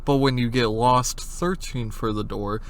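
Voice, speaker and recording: A man's voice: medium pitch, a little slow. A man giving narrated analysis commentary, explaining in an informal way with casual asides. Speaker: video essayist, horror series analyst.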